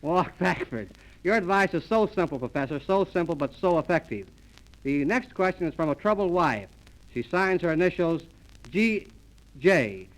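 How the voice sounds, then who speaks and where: High-voiced 1930s radio comedy host. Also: male voice, expressive, moderate pace, poor audio. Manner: mock-formal announcer delivery. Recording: old 1930s transcription disc, music.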